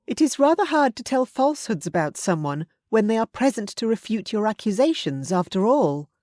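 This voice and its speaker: A clever young woman, as a woman narrator puts her on, speaks with dry wit. Her voice swings with a lively rise and fall. The recording is close and dry. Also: fairly high pitch, normal speed, no room sound, pitched above the performer's own voice. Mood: wry.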